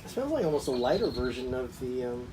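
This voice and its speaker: A man's voice: mid-range voice, sing-song, quick pace. A man co-hosting a tasting show, musing casually about what he samples. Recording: clearly noisy room ambience.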